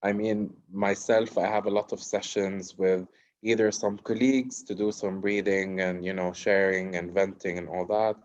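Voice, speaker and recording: Panelist, man from Cyprus, low voice, reflective and plain-spoken, dull lo-fi call sound. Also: darkish tone. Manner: reflective, plain-spoken, frequent "you know". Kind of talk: casual conversational answer. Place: at home in Gaza.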